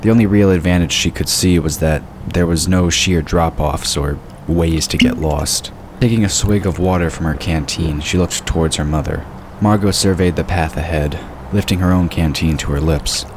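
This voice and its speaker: A man's voice: normal speed, few pauses. A man giving scripted narration for a fantasy audio drama. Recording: clearly noisy, sound effects behind. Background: rumbling ambience and sound effects.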